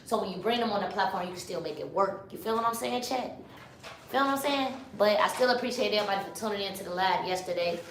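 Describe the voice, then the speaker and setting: A woman's voice: mid-range voice, fast talker, reverberant, a little hiss or hum, poor audio. A young woman from Chicago in her twenties, hosting a live stream in loose, slangy casual chat that keeps turning to the chat. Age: 20s. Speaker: livestream host.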